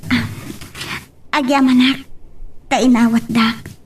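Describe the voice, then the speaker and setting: A woman playing a high-voiced, sweet young Ilocano wife. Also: level tone, takes it slowly, soft background music. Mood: respectful. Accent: Filipino, Ilocano. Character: sweet, dutiful young newlywed wife and daughter-in-law. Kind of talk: Ilocano radio-style audio drama acting.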